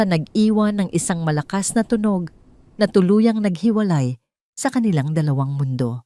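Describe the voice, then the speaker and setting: A woman storyteller with a fairly low voice and a soft, dark, mellow tone. She reads scripted drama as an audiobook narrator, with measured and formal phrasing.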